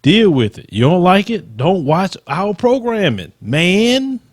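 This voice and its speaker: A man's voice: high-pitched, big pitch swings, slow, no room sound, clean studio sound. A man with a Southern US accent and a slight lisp, hosting a channel where he reads troll comments aloud and rebuts them in a combative, emphatic way. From Southern United States. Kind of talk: casual commentary.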